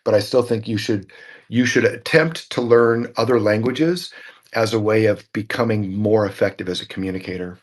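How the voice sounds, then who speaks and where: A man's voice: on the low side, steady tone, normal speed. A man hosting a casual tech talk show, talking in a rambling way and often repeating words as he thinks. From Norwegian family background. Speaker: show host.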